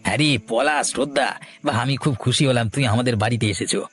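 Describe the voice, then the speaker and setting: An actor playing a welcoming, supportive village neighbour in a cartoon, friendly and sympathetic. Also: male voice, medium pitch, fast, soft background music, natural voice. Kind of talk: Bengali cartoon voice acting.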